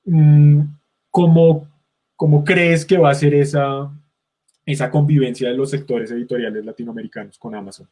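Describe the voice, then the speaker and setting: A man's voice: a little high, fairly even intonation, moderate pace, dark tone. A man moderating an online conference, asking questions and giving formal thanks, sometimes stumbling over his words.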